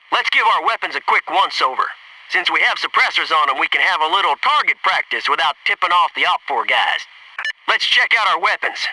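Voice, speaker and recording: Cocky navy seal squadmate in a shooter game, real bright sharp voice, swings up and down, wisecracks. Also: male voice, sits high, far from the mic, slight background noise, heavily acted, low-quality recording. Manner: brash, jokey, sarcastic banter and crisp tactical calls. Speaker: video game voice actor. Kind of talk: military shooter game dialogue.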